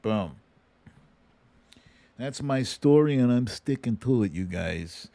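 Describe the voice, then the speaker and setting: A man's voice: mid-range voice, lively intonation, slow, gravelly, dark tone, no room sound. A middle-aged American man hosting a political livestream, talking casually in an informal, slangy, plain-spoken way and chatting with viewers. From United States. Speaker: host of a political commentary livestream. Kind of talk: casual livestream commentary, taking viewer questions.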